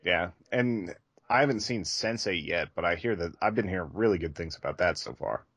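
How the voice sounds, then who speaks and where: Low-voiced man, close dry sound. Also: fairly quick, a bit bright, breathy edge, no echo. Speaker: podcast co-host. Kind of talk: casual podcast chat.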